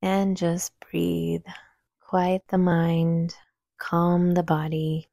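Slow, mellow woman guiding meditation. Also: fairly low pitch, gravelly, no echo, clean studio sound. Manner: calm, soothing, unhurried instruction. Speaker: meditation guide. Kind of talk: guided meditation.

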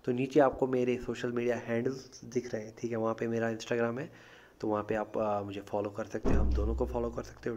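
A man's voice: mid-range voice, dark tone. A man with an Indian accent giving a casual tutorial voice-over as a video host, informal and often checking in with a short tag phrase. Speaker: YouTube tech tutorial host. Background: music.

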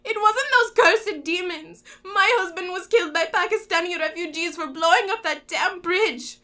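Actress as bitter war widow for radio drama. Emotional, high, bright and forceful. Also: female voice, moderate pace. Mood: angry, accusing. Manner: emotional, tearful, prone to outbursts. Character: grieving, embittered widow of a war-ruined country.

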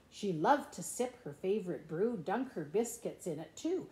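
A middle-aged woman reading a children's picture book aloud in an expressive, playful, sing-song way, with asides about the pictures. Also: mid-range voice. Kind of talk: animated children's storybook read-aloud with asides. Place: indoors in a room at home. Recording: echoey room.

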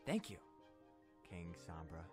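A man's voice: deep voice, big pitch swings, slow, a little mellow, heavily acted. A man plays a brave young stallion squire from a cartoon, cocky yet modest. His plucky voice moves animatedly over faint music. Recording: music under the voice.

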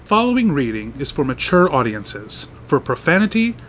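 A man's voice: a little high, very animated, normal speed, a bit bright. A man reading a scripted disclaimer as narrator, played back from a video. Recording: video playback with background noise.

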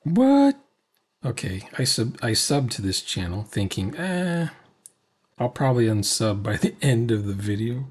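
A man's voice: medium pitch, sing-song, a little slow, husky. A man in his sixties giving casual, off-the-cuff reaction commentary, muttering asides as if talking to himself. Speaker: reaction video host. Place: cluttered home room, indoors.

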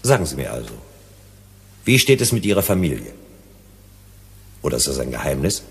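A man's voice: extremely low pitch, sing-song, a little fast, gravelly, exaggerated voice. A man acting in a German radio play as a persuasive, questioning negotiator on a space colony. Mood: calm, probing. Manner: insistent, questioning, soothing. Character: probing, persuasive male negotiator on a Venus colony. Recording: voice in long hall-like reverb.

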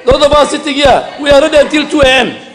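A middle-aged man, a member of parliament raising a point of order at a desk microphone, deliberate and then loud and insistent. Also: extremely high pitch. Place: large parliament chamber. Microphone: desk microphone, standing. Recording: long hall reverb.

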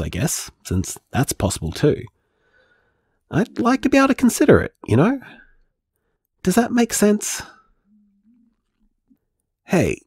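An actor playing a thoughtful, loving boyfriend in an audio drama, talking tentatively and reflectively in a voice message. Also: male voice, fairly low pitch, a little mellow, husky, no room sound, studio quality, heavily acted. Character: thoughtful, loving boyfriend weighing things carefully.

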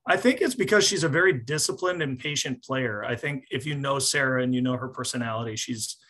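A man in his forties, a coach explaining things in a measured way at a post-match press conference, speaking on a video call. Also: mid-range voice, fairly bright tone. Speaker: volleyball coach. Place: small indoor office, on a video call.

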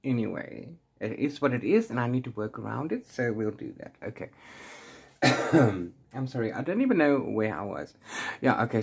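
A man in his forties giving a casual, unscripted book chat, rambling in an animated way with exasperated asides. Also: mid-range voice, creaky, husky. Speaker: book reviewer vlogger. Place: small room at home with bookshelves.

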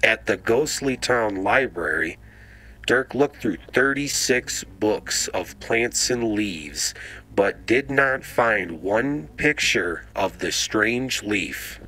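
A man narrating a children's story in radio drama style, deliberately and dramatically. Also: fairly low pitch, animated, pushed and bright. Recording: noisy ambient sound effects behind.

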